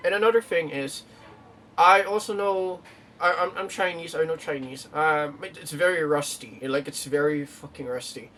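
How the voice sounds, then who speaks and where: A man's voice: fairly high pitch, fairly even intonation, normal speed, fairly bright tone. A young man from the Philippines, vlogging casually in a rambling way, with many fillers and restarts. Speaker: YouTuber. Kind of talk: casual vlog talk to camera. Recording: distant mic with faint room noise.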